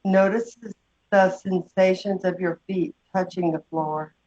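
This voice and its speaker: A woman leading a guided meditation, giving slow, gentle instructions with long pauses. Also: fairly low pitch, fairly even intonation. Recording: dull, muffled lo-fi sound.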